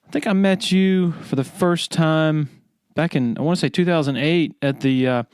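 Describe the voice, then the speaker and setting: A man's voice: on the high side, moderate pace, darkish tone, smooth, no room sound, clean studio sound. A man hosting a podcast, speaking casually and informally. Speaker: podcast host, interviewer. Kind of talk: casual podcast talk and interviewing.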